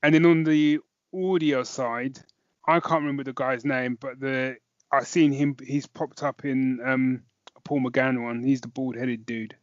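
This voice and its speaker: A man's voice: medium pitch, little pitch movement, a little slow, no echo. A man co-hosting a review podcast in a casual chatting style. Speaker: podcast co-host. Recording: dull, dry podcast audio.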